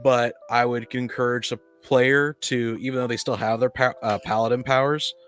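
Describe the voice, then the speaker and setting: A man in a casual podcast discussion, explaining his thinking in a halting, explanatory way. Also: medium pitch, level tone, fairly bright tone, faint music behind. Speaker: podcast speaker on tabletop gaming.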